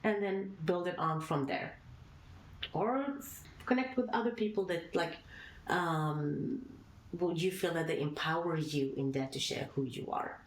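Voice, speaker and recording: A woman from Sudan, a founder being interviewed, giving earnest advice in short, halting phrases. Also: lowish voice. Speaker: guest, founder. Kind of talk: podcast interview answers. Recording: echoey room, faint noise.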